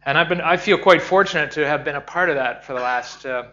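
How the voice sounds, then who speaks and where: A middle-aged Canadian man lectures a seminar audience, tossing questions at the room in a lively way. His voice sits a little high and sounds bright and forceful. The recording is dull and lo-fi. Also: fast. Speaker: safety lecturer. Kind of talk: seminar talk. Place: seminar hall.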